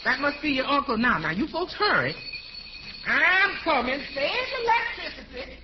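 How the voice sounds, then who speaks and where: An actress playing a good-humored, wisecracking housekeeper in a 1940s radio comedy. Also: female voice, sits high, big pitch swings, normal speed. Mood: brisk. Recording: muffled, hissy old radio recording.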